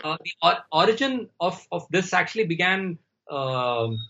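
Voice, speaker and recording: A man, a Tamil speaker, thinking aloud as he answers. His voice sits a little high and comes through dull, lo-fi call audio. He searches for his words slowly and speaks in a measured way. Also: animated, creaky, pushed and bright. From India. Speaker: guest storyteller. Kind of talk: reflective interview talk.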